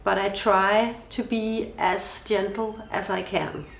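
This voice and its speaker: A woman's voice: medium pitch, takes it slowly, creaky, a little hiss or hum. Woman, Danish accent, thoughtful, lists questions, interview guest, echoey room. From Denmark. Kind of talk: podcast interview answers. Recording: roomy echo.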